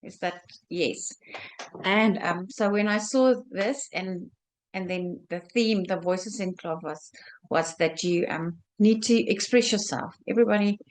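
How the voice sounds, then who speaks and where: A South African woman being interviewed about her quilt, speaking haltingly with many fillers and restarts. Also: mid-range voice. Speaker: guest quilter. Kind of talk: video call interview.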